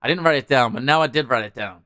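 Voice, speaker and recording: A man's voice: medium pitch, fast, bright, forceful tone, dry recording. A man hosting a music show, chatting casually and ramblingly as he answers messages from viewers. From Norway. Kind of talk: casual livestream chat.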